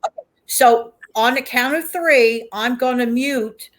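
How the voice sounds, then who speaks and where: A woman's voice: on the high side, takes it slowly, low-quality recording. A woman hosting a livestream in casual chat, repeating words for emphasis. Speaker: host of a livestream.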